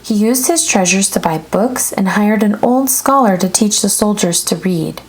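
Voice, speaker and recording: A woman narrating a children's book aloud in an expressive storytelling style. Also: medium pitch, rough recording.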